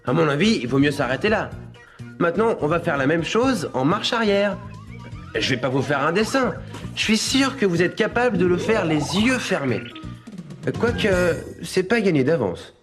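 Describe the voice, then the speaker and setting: Know-it-all cartoon schoolboy: high-pitched, smug, explanatory, faint music underneath. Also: male voice, sing-song, moderate pace. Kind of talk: animated cartoon dubbing.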